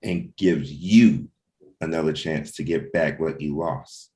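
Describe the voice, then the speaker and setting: A stern superior voiced by a man gives orders in a very low voice. He is commanding and judging, as in a comic-book drama read. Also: slow, a little mellow, reverberant.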